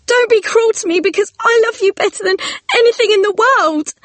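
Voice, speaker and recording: A man voicing a young actress who begs her lover not to leave her, in a high imploring falsetto. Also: fairly quick, fairly bright tone, dry recording. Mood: pleading.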